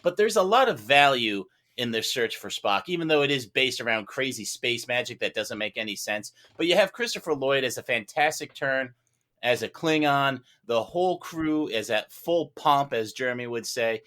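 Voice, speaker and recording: A man's voice: medium pitch, animated, normal speed, sharp tone. A man on a podcast panel chatting casually in an enthusiastic, rambling way. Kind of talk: casual chat.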